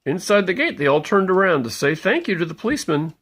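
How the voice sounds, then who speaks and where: A man reading a picture book aloud to young children, playful and expressive, pausing to point things out. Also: high voice. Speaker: parent reading a bedtime story aloud.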